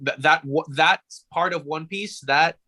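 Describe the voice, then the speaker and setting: A man's voice: on the high side, a little slow, pushed and bright. A man co-hosting a podcast, thinking aloud in informal, slangy casual chat. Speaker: podcast co-host.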